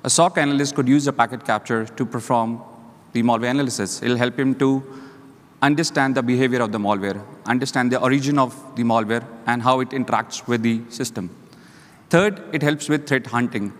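A man's voice: mid-range voice, fairly quick. A man on a conference stage giving a structured, list-like talk as a panelist on a lapel mic. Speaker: panelist, security leader. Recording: reverberant hall.

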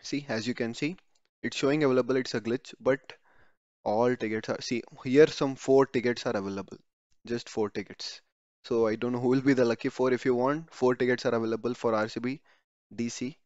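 A man's voice: mid-range voice, fairly even intonation, fairly quick, darkish tone, no room sound. A man narrating a screen-recorded tutorial in a conversational way, often repeating words and correcting himself mid-word. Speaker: YouTube tutorial host. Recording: dry, slightly dull home voice-over.

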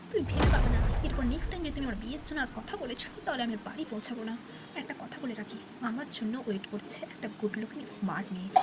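A woman voicing an arrogant, disdainful young man in an amateur puppet show, dropping English phrases into haughty speech. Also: high voice. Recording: noisy amateur recording.

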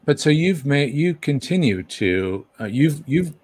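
Lively rambling man co-hosting a video chat. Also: fairly high pitch, measured pace, husky. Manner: rambling, lively, trails off mid-thought. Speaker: co-host, puppeteer. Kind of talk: casual video call conversation. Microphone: video call from home.

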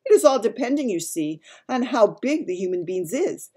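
Storybook giant, played by a woman: runty, gentle male, raised pitch, animated, muddled grammar and nonsense words. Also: moderate pace, slightly rough. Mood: explaining. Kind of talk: dramatised children's storybook reading.

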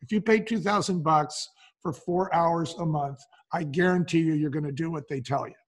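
A man's voice: on the high side, normal speed, a crowd in the background. An older man speaking conversationally as a business advisor in an interview, explaining things point by point with colloquial asides. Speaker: business advisor and podcast guest.

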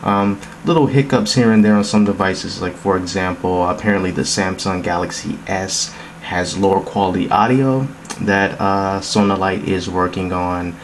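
A man's voice: deep voice, normal speed, hardly any pauses. A man casually narrating a tech demo in an informal, explanatory, conversational way, recorded indoors. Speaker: app demonstrator. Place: indoors, phone demo on a wooden table. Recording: noticeable background noise.

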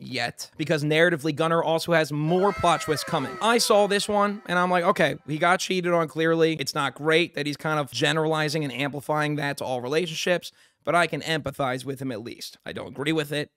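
A man's voice: a little high, a little fast, a bit bright, no room sound. A young man in his twenties who streams commentary from a small bedroom studio and talks into a close studio microphone. He talks casually and sarcastically, swears often and acts out mocking quotes. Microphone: studio mic close up on a boom arm.

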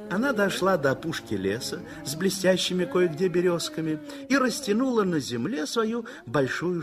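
Male narrator, radio play, slightly high voice, expressive and lyrical. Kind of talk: dramatic literary narration. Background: music.

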